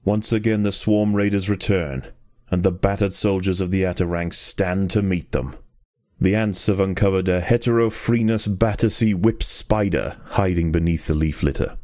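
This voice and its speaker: A man performing a solemn nature documentary narrator for a game, measured and ominous in delivery. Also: low voice, steady tone, darkish tone, no room sound, clean studio sound, close to an everyday voice. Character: grave nature documentary narrator of ant wars.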